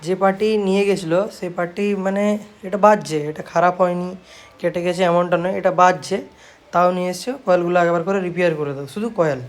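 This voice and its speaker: Fast-talking Bengali man from West Bengal. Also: high-pitched, fairly even intonation. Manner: repetitive, habitual confirming tags. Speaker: speaker repair shop owner. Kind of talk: casual shop video, showing work to viewers.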